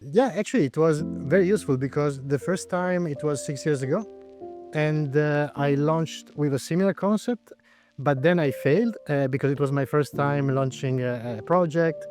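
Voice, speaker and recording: A man's voice: fairly high pitch, normal speed, darkish tone, faint music behind. A man in his forties from Italy, speaking with an Italian accent as a startup founder answering interview questions, thoughtful, with frequent hesitations. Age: 40s.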